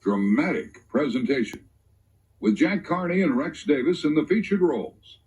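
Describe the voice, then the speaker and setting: A man gives a mock-grand radio introduction. His voice is husky and a little high, heard somewhat distantly on an archive recording. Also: very animated, moderate pace, darkish tone. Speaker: radio announcer.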